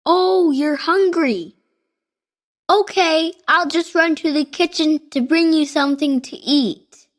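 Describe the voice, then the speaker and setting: A woman voicing a friendly, excitable little boy in a children's storybook, with an expressive, childlike delivery. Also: high voice, takes it slowly, voice pushed up. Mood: caring, eager.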